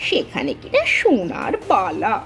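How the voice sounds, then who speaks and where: A woman's voice: medium pitch, huge pitch swings, a little slow, fairly bright tone, faint music behind, heavily acted. A woman voicing a scheming, spiteful elder daughter-in-law in a cartoon, with an insinuating, wheedling manner. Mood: sly. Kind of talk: cartoon family drama acting.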